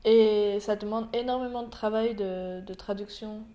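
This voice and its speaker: A woman's voice: mid-range voice, fairly even intonation, normal speed, warm tone. Chatty young French-speaking woman host. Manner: chatty, laughing, many fillers and trailing phrases. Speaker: interviewer, vlog host. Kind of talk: casual conversation mixing French and English.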